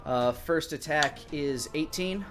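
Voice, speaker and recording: A man's voice: fairly high pitch, slow. A man in his thirties running a live tabletop game as game master, improvising narration of the action. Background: music.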